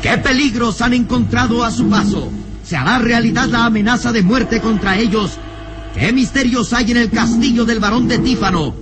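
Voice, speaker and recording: A man's voice: extremely high pitch, moderate pace. A man playing the solemn, theatrical narrator of a suspenseful adventure radio serial. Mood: urgent suspense. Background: music.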